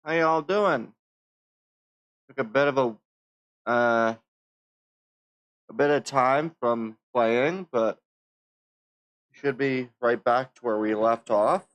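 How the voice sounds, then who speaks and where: An American man chatting casually on a gaming livestream, subdued and halting, a little under the weather with a sore throat. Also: mid-range voice, fairly bright tone, dry recording, poor audio. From United States. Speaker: livestreamer, VTuber. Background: music.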